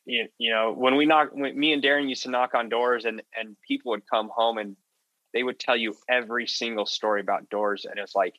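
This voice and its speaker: A man's voice: mid-range voice, fairly even intonation, a little fast, bright, forceful tone, no echo, low-quality recording. A man co-hosting a podcast, talking in an earnest, informal, conversational way. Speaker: podcast co-host. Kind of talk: casual conversational podcast wrap-up.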